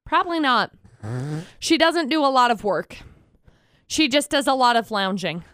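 A woman's voice: sits high, lively intonation, normal speed, fairly bright tone, no room sound, clean studio sound. A woman co-hosting a radio show in casual debate banter, emphatically repeating her points for stress.

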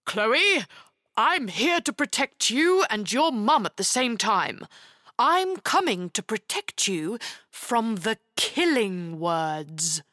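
Woman as earnest little knight, slow and animated. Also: fairly high pitch, dry recording, studio quality, exaggerated voice. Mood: defiant, solemn. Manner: earnest, solemn, flustered at times. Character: tiny earnest male knight, gallant protector of children. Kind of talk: dramatised children's fairy tale reading.

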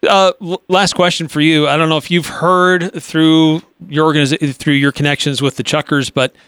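Male sports talk host, slightly high voice, conversational interviewer, very dry sound. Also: little pitch movement, normal speed, a bit bright, clear, resonant tone, no room sound.

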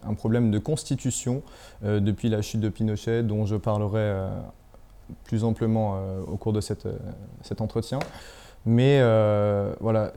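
A young adult man, an independent journalist, giving hesitant interview answers full of pauses and restarts. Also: on the low side, dark tone, clear, resonant tone. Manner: hesitant, many fillers and restarts.

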